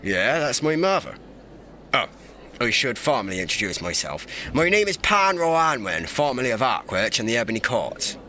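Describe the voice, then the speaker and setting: A man's voice: mid-range voice, sing-song, moderate pace, bright, forceful tone, slight background noise. A man playing a young, mischievous and irreverent emperor in a fantasy audio drama, with a theatrical, teasing, breezy delivery. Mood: relaxed, friendly. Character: young playful irreverent male emperor, secretly informal and mischievous.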